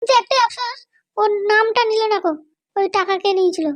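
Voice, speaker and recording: A man voicing a bossy, short-tempered middle-aged cartoon wife with a West Bengal accent and a pitched-up voice. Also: fast talker, fairly bright tone, no room sound. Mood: insistent. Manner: scolding, threatening. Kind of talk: comic cartoon dialogue.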